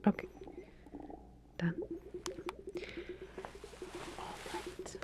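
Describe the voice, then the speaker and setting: A woman whispering softly and breathily in an ASMR roleplay, speaking to the listener as a calm, reassuring, professional researcher. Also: extremely slow, very noisy. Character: calm, attentive female scientist running a medical experiment.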